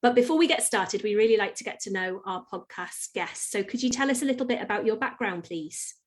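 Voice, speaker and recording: A woman's voice: mid-range voice, expressive, fairly quick. A woman hosting a podcast, reading a polite scripted introduction and asking her guest questions. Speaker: podcast host.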